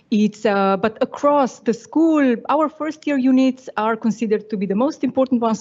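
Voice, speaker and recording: A woman's voice: medium pitch, a little mellow. Earnest, hesitant Slovenian-born woman. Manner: hesitant, many fillers, earnest. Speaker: panellist, university teacher. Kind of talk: panel discussion answers. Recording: muffled lo-fi sound.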